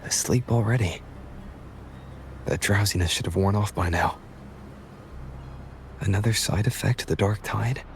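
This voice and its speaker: A man's voice: lowish voice, unhurried, pauses often, slight background noise. A man voicing a serious, resolute young adventurer in a video game, pensive and earnest. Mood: concerned. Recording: music behind, long hall reverb.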